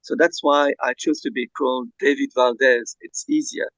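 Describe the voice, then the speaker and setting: A Belgian-born man whose first language is Dutch, a guest speaking softly and hesitantly with many fillers over a video call. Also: medium pitch, steady tone, moderate pace, no room sound.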